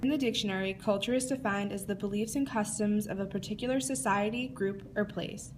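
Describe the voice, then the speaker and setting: A young woman narrating a scripted introduction in a clear, read-aloud delivery. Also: mid-range voice, a little fast, slight background noise.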